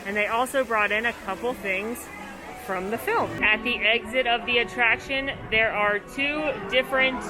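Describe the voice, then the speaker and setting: A woman's voice: on the high side, normal speed, poor audio. Bubbly woman vlogging outdoors with music. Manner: bubbly, chatty, playful. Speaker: vlog host. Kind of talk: upbeat theme-park vlog. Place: outdoors at a theme park.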